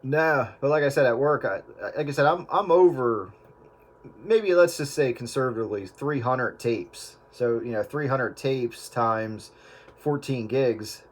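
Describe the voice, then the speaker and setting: A man's voice: mid-range voice, a little slow, slight background noise. A man hosting a casual chat, explaining technical points as an audio engineer would.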